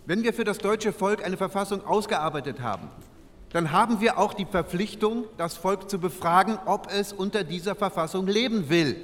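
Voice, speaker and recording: Principled male parliamentarian, high-pitched, earnest declamation, formal and solemn, big hall reverb. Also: lively intonation, moderate pace, fairly bright tone. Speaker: actor in a documentary radio play. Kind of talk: dramatised parliamentary speech.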